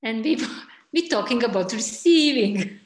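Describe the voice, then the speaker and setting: A woman speaks slowly and gently in halting English, encouraging her listeners. Her voice sits a little high. The video call audio carries a roomy echo. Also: expressive. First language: Croatian. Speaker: class organizer and translator.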